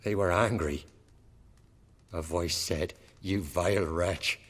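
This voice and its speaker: An actor playing a tormented, haunted jarl who speaks in solemn, archaic, fatalistic lines. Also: male voice, low-pitched, slow pace. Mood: grim, haunted. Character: tormented haunted old jarl, brooding and grim. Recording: long hall reverb.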